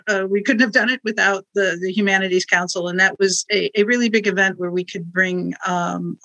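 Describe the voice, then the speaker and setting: Bright middle-aged woman, dry recording. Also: medium pitch, no echo, poor audio. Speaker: podcast guest from a library. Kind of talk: casual, conversational interview answer.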